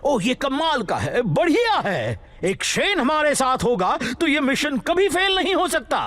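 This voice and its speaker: A man voicing an excitable, boastful, scheming expedition leader in a cartoon dub, gushing and theatrical. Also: very high-pitched, unhurried, full character voice. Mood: amazed, gushing. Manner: gushing, theatrical, swinging from fawning to sly. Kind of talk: animated cartoon dubbing.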